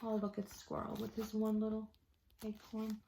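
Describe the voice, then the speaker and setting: A woman teacher reading a storybook aloud to children, expressively, with quiet asides. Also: medium pitch, completely flat delivery, normal speed, creaky, slight background noise. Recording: echoey room.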